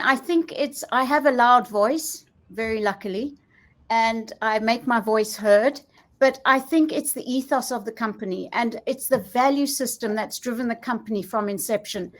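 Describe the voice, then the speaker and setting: Emphatic woman speaking on a business panel. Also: a little high. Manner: emphatic, speaks in listed parallel phrases. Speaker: panel guest, member of a company's founding family. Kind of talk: panel discussion answer.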